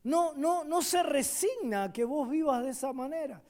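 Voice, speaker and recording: A man with an Argentine accent preaching a sermon as a guest pastor at a church service. He swings between loud, emphatic declarations and quiet asides. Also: very high-pitched, moderate pace.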